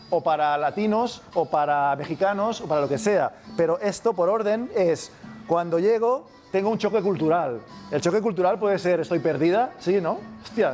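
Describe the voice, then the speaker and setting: Spanish man, lecturer, casual classroom talk, checks in often, somewhat high voice. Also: darkish tone. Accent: Spain Spanish. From Spain. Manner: casual, keeps checking with "¿vale?". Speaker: university lecturer. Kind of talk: masterclass lecture.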